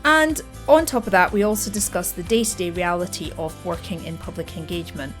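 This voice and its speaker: A woman hosting a podcast, reading a clear, upbeat scripted introduction and then interviewing her guest in a friendly way. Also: on the low side, a little fast, soft background music. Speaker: podcast host.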